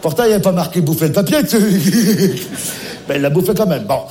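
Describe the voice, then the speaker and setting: A man playing a scatterbrained, high-voiced grandpa. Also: animated, brisk, warm tone, slight background noise. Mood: bumbling. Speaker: comic sketch character. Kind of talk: comic one-sided phone call sketch.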